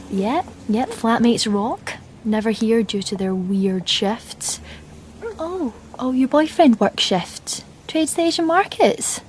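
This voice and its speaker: Radio drama: shy young jobless dreamer, sardonic inner voice, unhurried delivery, some noise behind. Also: female voice, on the high side, a little mellow. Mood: awkwardly bright. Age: young adult. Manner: wry, self-mocking, hesitant aloud. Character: cripplingly shy, wry, unemployed young woman graduate, an aspiring comic artist. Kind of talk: radio play acting, confiding inner monologue.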